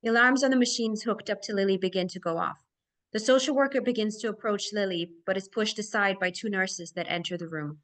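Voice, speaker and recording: A female narrator with a medium-pitched voice reads scripted stage directions. She delivers them as descriptive narration for a screenplay table read. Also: brisk, a bit bright.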